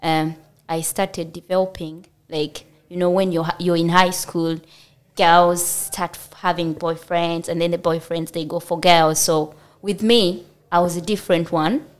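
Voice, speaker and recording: A woman's voice: on the low side, little pitch movement, measured pace, clean tone. A woman from Uganda with a Ugandan accent, telling her life story as a podcast guest in a hesitant way with many fillers. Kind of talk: personal storytelling in an interview.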